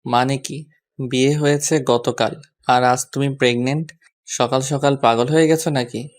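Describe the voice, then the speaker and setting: Man acting a mid-pitched devoted young husband. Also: fairly even intonation, moderate pace, lightly acted. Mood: baffled, annoyed.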